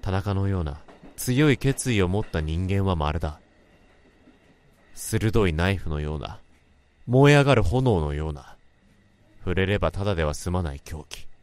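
A man's voice: low-pitched, expressive, normal speed, pauses often, a little mellow. A man playing a brooding office worker who narrates his story in a somber, introspective radio drama. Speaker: narrator and lead. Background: music.

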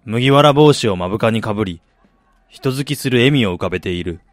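A man's voice: lowish voice, expressive, brisk, dry recording, lightly acted. An actor playing a weary, disillusioned man in a radio drama, with a brooding, self-deprecating way of talking. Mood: brooding, resigned. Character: weary, disillusioned man back in his dying hometown. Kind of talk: radio drama first-person narration and dialogue.

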